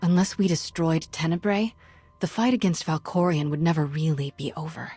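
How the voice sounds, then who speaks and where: Young female Jedi, lowish voice, frank, loyal, conversational, game cutscene, music behind. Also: fairly even intonation, normal speed, mellow, slightly rough, no room sound. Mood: concerned, earnest. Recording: background music under the voice.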